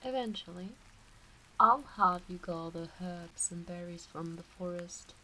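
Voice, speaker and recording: A woman voicing a teasing, doting kitsune witch in an intimate ASMR roleplay, with a soft breathy voice that often slips into whispers and coaxing baby-talk. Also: lowish voice, a little slow, slight background noise, low-quality recording. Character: teasing, doting kitsune fox witch fussing over her pet. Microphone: close ASMR microphone.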